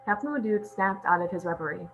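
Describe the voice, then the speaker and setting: A woman's voice: medium pitch, lively intonation, quick pace. A woman reading out stage directions as scripted narration at a table read. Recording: muffled audio with clear hum.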